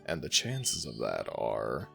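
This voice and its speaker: A man voicing an ancient jackal god in an audio roleplay, gentle, teasing and fond toward the listener. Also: huge pitch swings, soft background music, heavily acted. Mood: playful warm. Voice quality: very deep gravelly voice, slow and soothing. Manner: affectionate, playfully self-mocking.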